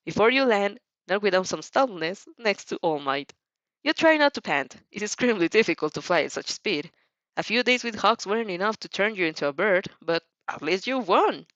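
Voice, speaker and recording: A woman narrates fan fiction in an expressive storytelling style. Her pitch moves a great deal, and the recording is dry and slightly muffled. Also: fairly quick, no room sound.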